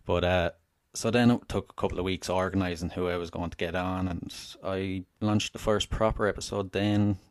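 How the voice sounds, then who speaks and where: Deep-voiced Irish man telling a story. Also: fairly even intonation, normal speed, dry recording, clean studio sound. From Ireland (Wexford). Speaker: podcast guest. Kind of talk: casual interview storytelling.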